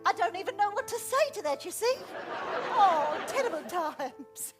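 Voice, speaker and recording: Bawdy stage dame, woman performing, very high, animated and theatrical, live crowd behind. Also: moderate pace. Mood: weary. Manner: theatrical, exclamatory. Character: bawdy pantomime dame, a saucy, weary town mother. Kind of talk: live comic pantomime acting. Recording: live stage, music and audience noise.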